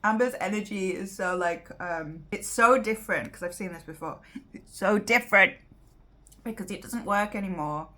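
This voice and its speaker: A British woman giving casual reaction commentary as host, rambling and halting as she talks. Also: medium pitch, pushed and bright. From Britain.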